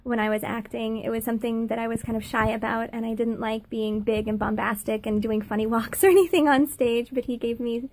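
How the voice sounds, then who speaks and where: A woman in her thirties with a high-pitched voice, recording a personal video message, earnest and halting with many pauses. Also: fairly even intonation, a little mellow. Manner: earnest, halting, many fillers, laughs between phrases. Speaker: former student giving a thank-you testimonial.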